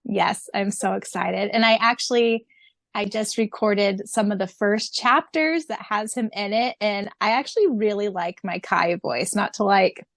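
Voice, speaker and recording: A woman's voice: medium pitch, unhurried, creaky, no room sound, clean studio sound. A woman co-hosting a podcast who also narrates audiobooks, chatting enthusiastically and laughing easily as she reads answers aloud. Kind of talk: casual chat and reading answers aloud.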